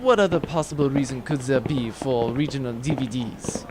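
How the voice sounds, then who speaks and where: A man's voice: medium pitch, normal speed, noisy background, natural voice. A man playing an emphatic, sarcastic, nerdy conspiracy theorist in a comic space-opera audio drama. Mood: scornful. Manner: emphatic, sarcastic, know-it-all. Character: scornful, nerdy male conspiracy theorist crewmate.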